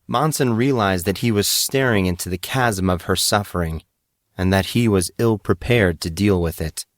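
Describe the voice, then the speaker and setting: A man reading dramatic fiction as a narrator, in a measured and earnest manner. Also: sits low, no echo, clean studio sound. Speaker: audiobook narrator.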